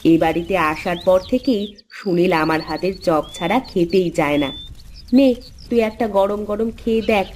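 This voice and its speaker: A woman voicing a chatty, expressive village housewife and sister-in-law in a Bengali cartoon. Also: medium pitch, a little slow, slight background noise, natural voice. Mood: affectionate.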